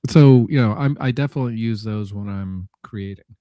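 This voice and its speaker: A man chatting casually as a panelist, talking in a halting, rambling way and often correcting himself. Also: lowish voice, mellow, dry recording, clean studio sound. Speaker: panelist on a live audio show. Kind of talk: casual unscripted chat.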